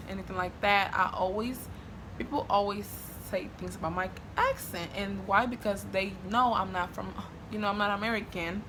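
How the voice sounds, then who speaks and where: A woman's voice: medium pitch, background noise. A young woman from Honduras with a Spanish accent, chatting casually to her camera with lots of fillers and restarts. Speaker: vlogger.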